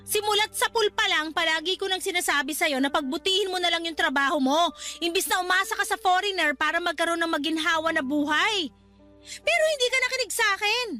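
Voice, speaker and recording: An earnest Filipina resort manager in a radio drama, speaking in a very high, bright voice. She is wronged but conciliatory, reproachful and pleading, over a faint music bed. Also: brisk. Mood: reproachful, hurt.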